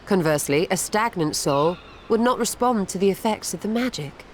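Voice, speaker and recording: A woman's voice: medium pitch, expressive, normal speed, slight background noise, close to an everyday voice. An actress voicing a composed, analytical woman mage in a video game, speaking dryly and precisely.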